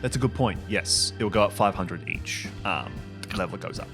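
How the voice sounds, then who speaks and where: A man working as game master, casually improvising narration for a tabletop game in a halting, thinking-aloud way. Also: deep voice, fairly bright tone. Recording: music behind the voice.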